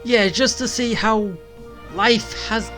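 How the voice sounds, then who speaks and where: Unhurried woman streamer musing aloud. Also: on the high side, steady tone, fairly bright tone, soft background music. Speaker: game streamer. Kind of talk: casual gameplay commentary.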